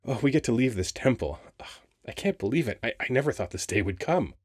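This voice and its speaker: A man playing a handsome, playful male sphinx guarding a temple in an ASMR roleplay, talking in a teasing, expressive, conversational way. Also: medium pitch, fairly quick, dry recording, clean studio sound, natural voice. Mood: astonished joy. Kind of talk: ASMR roleplay audio acting.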